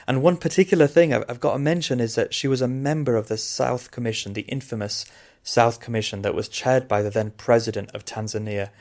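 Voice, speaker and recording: A man co-hosts a podcast in easy, casual conversation. He sounds eager and often doubles words as his thoughts run ahead, at a middling pitch. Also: a little fast, a little mellow. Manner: eager, repeats words as he talks. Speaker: podcast co-host. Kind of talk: casual chat.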